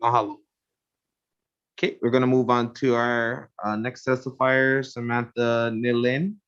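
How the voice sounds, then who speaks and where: A man's voice: medium pitch, level tone, a little slow, a bit bright, smooth. A man chairing a legislative hearing, calling testifiers in a procedural, matter-of-fact way. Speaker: committee chair. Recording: dull lo-fi audio.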